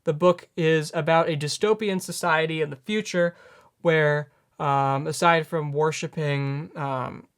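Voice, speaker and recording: Man, late teens, news commentary to camera, slightly high voice, earnest, self-correcting. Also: steady tone, measured pace, smooth. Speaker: news host.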